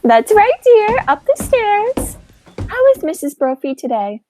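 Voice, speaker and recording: A young woman acting a sweet, fussy, chatty elderly aunt with a genteel manner in a high school radio play. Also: big pitch swings, measured pace, mellow, a little hiss or hum, heavily acted. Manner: genteel, fluttery, coaxing.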